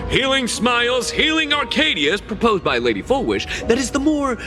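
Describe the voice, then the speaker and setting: A man's voice: very high-pitched, very animated, moderate pace, pushed and bright, soft background music, full character voice. A man voicing a slick, theatrical TV street reporter in a video game, with polished broadcaster delivery. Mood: showy, bright. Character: slick, showy male TV street reporter with leading questions.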